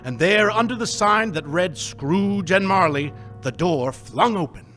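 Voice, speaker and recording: Male narrator, slow and deliberate, expressive rise and fall, radio play. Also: high-pitched, faint music behind. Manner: deliberate, emphatic storytelling.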